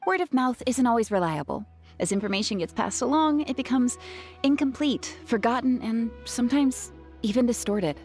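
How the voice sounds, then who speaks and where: A woman's voice: on the high side, expressive, moderate pace, a little mellow, soft background music, lightly acted. A woman playing an upbeat, grateful young woman with a salesy flair in a video game. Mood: persuasive.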